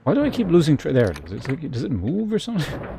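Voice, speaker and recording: A man's voice: on the high side, huge pitch swings, quick pace, creaky, dark tone, slight background noise. A man streaming a video game, giving casual live commentary and thinking aloud in short muttered remarks.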